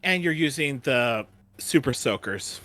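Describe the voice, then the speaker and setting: A man hosting a casual fan chat in a halting way, often repeating phrases as he goes. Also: mid-range voice, animated, pushed and bright, no echo. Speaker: host of a fan discussion.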